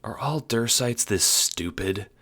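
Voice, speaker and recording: A man's voice: lowish voice, lively intonation, slow, pushed and bright, voice pushed up, full character voice. A man voicing a weary, sceptical shelled female creature, an exiled postmistress with a dry, unimpressed manner, in an audio drama. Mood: exasperated. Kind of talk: audio drama character acting.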